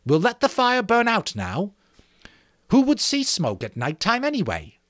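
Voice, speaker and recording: A man acts out a proud, contemptuous schoolboy leader in an adventure storybook. He speaks high and animated, fierce and commanding with the other boys. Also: measured pace, no echo, studio quality, exaggerated voice. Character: Jack, bossy, proud, contemptuous English choir-leader schoolboy.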